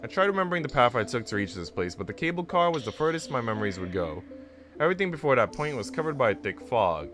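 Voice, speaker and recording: A man's voice: mid-range voice, sing-song, fast talker, natural voice. A man reading aloud as a reflective, uncertain young woman narrating a visual novel.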